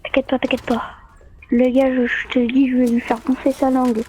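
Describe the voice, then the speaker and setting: Woman playing a loud brash young survivor. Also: high-pitched, moderate pace, a little hiss or hum, lightly acted. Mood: boastful. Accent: French. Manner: loud, boastful, excited.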